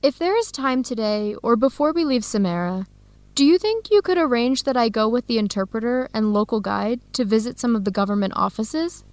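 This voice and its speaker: An actress playing an eager, earnest young Ethiopian-American student researcher in a radio drama for English learners, speaking clearly and in measured phrases. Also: female voice, on the high side, animated, darkish tone, dry recording. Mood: playful, hesitant. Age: young adult.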